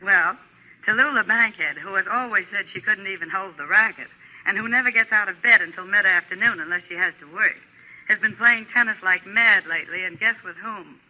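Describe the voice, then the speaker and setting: A woman plays a brisk American lady gossip columnist of 1940s radio, chatty and knowing. The sound is narrow, as from an old broadcast. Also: mid-range voice, lightly acted. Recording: band-limited 1940s radio recording.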